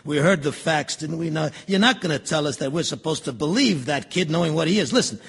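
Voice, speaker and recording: A man's voice: on the high side, animated, a little fast, a bit bright, slightly rough, exaggerated voice. A man playing a blunt, bigoted, contemptuous juror in an audio stage drama. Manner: blunt, scornful, know-it-all. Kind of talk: stage radio drama acting.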